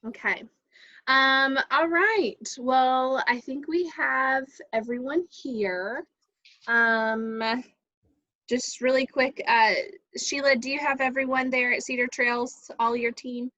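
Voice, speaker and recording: Bright, high-voiced woman on a video call. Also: fairly even intonation, slow pace, dull audio. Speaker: virtual event coordinator. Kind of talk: casual organizing talk on video call.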